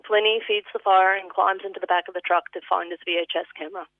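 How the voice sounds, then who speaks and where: A woman's voice: medium pitch, steady tone, fast talker, no echo. A woman with an Australian accent reading a personal eulogy as vivid literary storytelling. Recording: thin phone-line sound.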